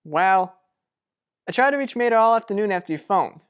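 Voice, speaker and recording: A woman's voice: medium pitch, expressive, fairly quick, clean tone, lo-fi sound, natural voice. A woman playing a level-headed, exasperated, protective sibling in an old-fashioned radio soap opera, matter-of-fact and chiding. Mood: concerned.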